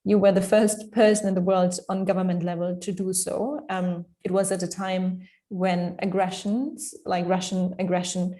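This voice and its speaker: A woman you would hear chairing an online summit panel, mellow and level as she hands over to speakers. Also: on the low side, little pitch movement, normal speed, hardly any pauses. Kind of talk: informal moderating. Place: indoors, on a video call. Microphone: video call.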